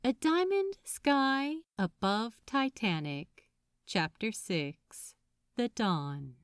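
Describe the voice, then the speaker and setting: A woman narrating a story expressively, with deliberate, dramatic phrasing. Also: fairly high pitch, expressive, clean tone.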